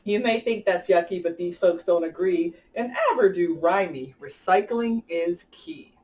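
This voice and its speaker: A woman's voice: on the low side, a little slow, a little mellow. A woman speaks from a little way off the mic as a children's story-time host. She is enthusiastic and talks straight to her young audience, whether reading a picture book or chatting. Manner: enthusiastic, addressing children directly. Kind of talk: picture-book read-aloud and chat for children. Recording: distant from the mic.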